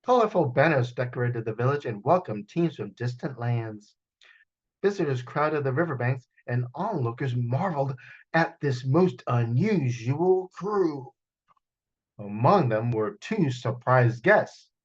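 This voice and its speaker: A man reading a children's story aloud in an expressive, animated storytelling style, with dramatic gasps and sound effects. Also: mid-range voice, unhurried, breathy edge, muffled recording. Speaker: children's author reading his book aloud. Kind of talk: animated picture-book storytelling.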